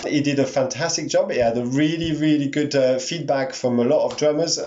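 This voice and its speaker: A man's voice: mid-range voice, steady tone, fairly quick, crowd noise. Guy musician rambling about a gig, roomy dull recording. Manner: chatty, rambling. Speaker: musician interviewee. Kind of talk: interview anecdote.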